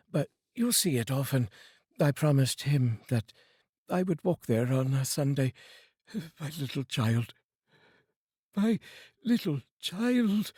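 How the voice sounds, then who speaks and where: A man voicing a humble, grieving father who speaks gently in an audiobook reading, with a husky voice. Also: mid-range voice, no room sound, clean studio sound, pitched above the performer's own voice. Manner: gentle, choking with grief.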